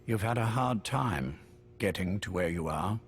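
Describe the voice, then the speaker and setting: Casting: older, ailing spymaster for game drama; lowish voice, urbane, wry, quietly menacing. Noisy, rumbling recording. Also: male voice, animated. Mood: grave, intense. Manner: urbane, measured, wryly polite. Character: genteel, sickly older British male spymaster, courteous but menacing.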